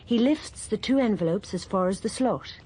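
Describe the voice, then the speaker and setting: A woman narrating a radio drama in a measured, even storytelling manner. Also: medium pitch, slight background noise.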